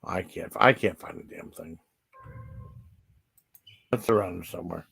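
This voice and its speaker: A man hosting a casual live stream, talking in a halting, repetitive, rambling way that often trails off. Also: low voice, gravelly, fairly bright tone, slightly rough.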